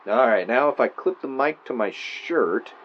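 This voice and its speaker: A man's voice: mid-range voice, lively intonation, moderate pace, natural voice. An actor playing a male true-crime podcaster, telling a spooky story theatrically with wry asides into a clip-on mic. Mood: casual, wry. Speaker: podcast host character. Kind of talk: audio drama, true-crime narration recorded on tape. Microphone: mic clipped to shirt. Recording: muffled noisy tape, clip-on mic in car.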